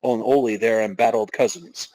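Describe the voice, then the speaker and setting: A man's voice: medium pitch, moderate pace, darkish tone, no echo, low-quality recording. A man hosting a podcast, giving a dramatic reading with casual, wry asides. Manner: wry, self-interrupting commentary. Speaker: podcast host.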